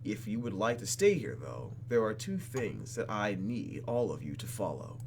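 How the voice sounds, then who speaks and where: A man voicing the hesitant, kind young heroine of a visual novel game in an earnest, halting way. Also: on the low side, big pitch swings, creaky, a little hiss or hum.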